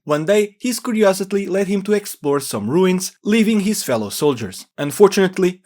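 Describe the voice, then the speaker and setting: A man hosting a video, reading a scripted explainer narration. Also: fairly high pitch.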